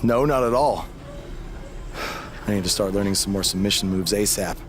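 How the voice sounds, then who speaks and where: An actor playing an earnest, determined rookie wrestler in conversational video game dialogue. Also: male voice, lowish voice, moderate pace, noisy background, natural voice. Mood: earnest, pleading.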